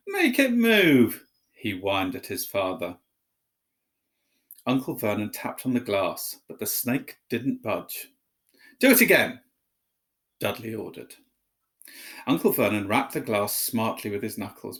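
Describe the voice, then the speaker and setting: A man telling a story, reading aloud expressively as a narrator. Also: on the low side.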